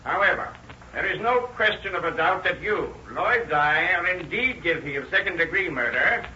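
An authoritative judge in old radio drama, solemn and formal. The high voice carries from a distance. The recording is muffled. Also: male voice, lively intonation, normal speed, sharp tone, reverberant, a little hiss or hum, exaggerated voice. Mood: solemn, official. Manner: formal, pronouncing. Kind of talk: 1930s radio drama acting.

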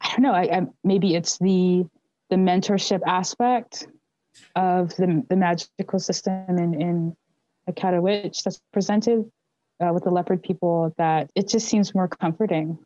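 A woman's voice: on the low side, fairly even intonation, measured pace, dark tone, dry recording. A woman born in Zambia and raised in the United States, co-hosting a casual book discussion and thinking aloud in a reflective way. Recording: dull lo-fi dry recording.

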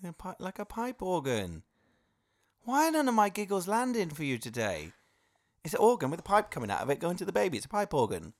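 A man's voice: high voice, huge pitch swings, brisk. A man from the Cardiff area co-hosting a casual chat, cracking jokes and puns. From Cardiff area, Wales. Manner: joking, wordplay. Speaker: podcast co-host. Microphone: headphones on, studio mic.

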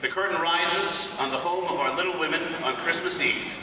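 A man's voice: fairly high pitch, moderate pace. A man giving a formal scripted introduction to an audio drama, as its director and announcer. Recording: very echoey hall, far mic, noisy.

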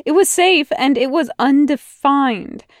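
Woman narrator for a fan audiobook; slow, gentle delivery, fairly high voice. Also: expressive, dry recording. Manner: slow, soft, unhurried reading. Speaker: podfic reader.

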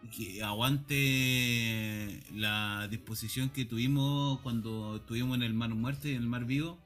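A man's voice: mid-range voice, steady tone, a little slow, fairly bright tone, soft background music. A Chilean man co-hosting a radio chat, slangy and laughing often.

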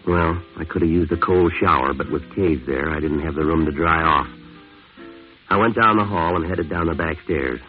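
A man playing a hard-boiled, wisecracking jazz-age antihero in an old radio noir, deadpan, clipped and sardonic. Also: rumbling low, normal speed, soft background music. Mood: wry, world-weary. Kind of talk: 1950s radio noir narration and acting. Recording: tinny, band-limited vintage radio recording.

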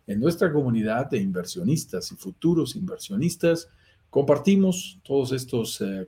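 A man's voice: mid-range voice, mellow. A middle-aged Colombian man with a Colombian accent, hosting a live real estate investment stream, earnest and full of "eh" fillers. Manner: earnest, lists synonyms, frequent "eh" fillers. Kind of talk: livestream presentation to viewers.

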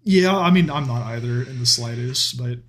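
A young man, co-hosting a podcast in casual chat, animated, laughing often and swearing. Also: mid-range voice, moderate pace. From Texas. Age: 20s. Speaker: podcast co-host.

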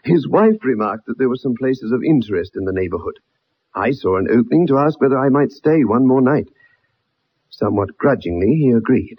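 In a muffled old radio drama, a dogged young ex-soldier. His husky, mid-pitched voice tells the story earnestly, with formal phrasing and a dramatic touch. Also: lively intonation, a little fast. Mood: earnest recounting. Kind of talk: 1960s radio drama acting.

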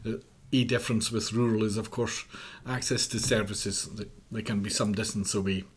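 A man's voice: on the low side, steady tone, normal speed, slightly rough. A man, speaking earnestly as a guest on a podcast and often restarting his sentences while he gives advice. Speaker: mental health charity policy director.

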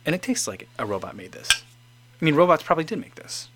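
A man's voice: a little high, sing-song, fast talker, background noise. A man in his forties casually chatting as host, reading out viewer comments and rambling with many restarts. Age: 40s.

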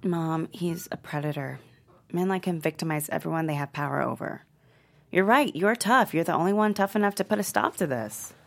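A woman's voice: deep voice, fairly quick, gravelly. A woman playing an idealistic, outspoken college-age daughter in a scripted table read. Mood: urgent, determined.

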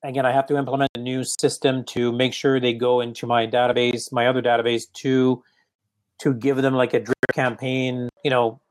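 A man with a mild French accent talks in a relaxed, casual way about how he follows up with home buyers. His sentences stall and restart, padded with "you know" as he works out what to say. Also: mid-range voice, rough recording. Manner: halting, restarts often, many "you know"s. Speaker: real estate agent. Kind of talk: casual coaching conversation.

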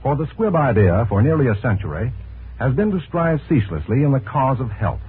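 1940s network announcer, American male: formal scripted delivery, animated intonation, thin band-limited broadcast sound. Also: mid-range voice, a little fast, husky. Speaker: radio announcer. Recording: tinny 1940s radio broadcast.

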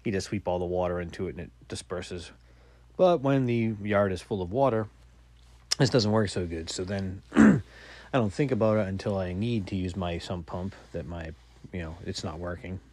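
A man's voice: low voice, normal speed, darkish tone. A man in his forties telling rambling, self-mocking stories on his podcast, swearing casually. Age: 40s. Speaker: podcast host. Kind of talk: casual solo storytelling.

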